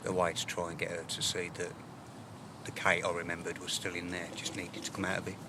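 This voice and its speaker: Traffic and outdoor ambience sit clearly behind a man with a fairly low voice. He speaks frankly and personally about his poems, hesitating and pausing as he reflects. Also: monotone. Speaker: poet. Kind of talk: candid personal talk about own poems.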